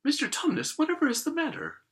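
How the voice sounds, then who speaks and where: A man voicing a polite, anxious young girl, pleading breathlessly in a high voice. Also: wildly swooping pitch, quick pace, heavily acted. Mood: alarmed.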